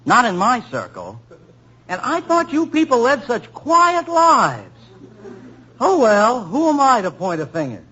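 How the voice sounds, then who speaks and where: Brief: a fast-talking wisecracking reporter, bright and husky, dull vintage radio sound. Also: male voice, extremely high pitch, huge pitch swings, full character voice. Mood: brash. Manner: brash, wisecracking, slangy. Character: brash young male newspaper reporter. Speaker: actor in a radio drama. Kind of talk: 1940s radio acting. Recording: muffled old radio broadcast.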